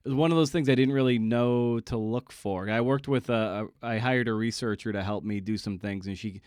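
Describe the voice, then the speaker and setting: A man's voice: mid-range voice, fairly quick, darkish tone, clear, resonant tone, no echo, clean studio sound. A North American man hosting a casual podcast interview, informal and a little rambling, repeating words as he goes. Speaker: podcast host.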